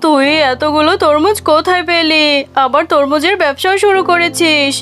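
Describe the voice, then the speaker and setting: Woman doing a bossy high-pitched cartoon tigress. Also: normal speed, a bit bright. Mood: nosy, scheming. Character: scheming, bossy, greedy mother tigress. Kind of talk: animated children's cartoon dub.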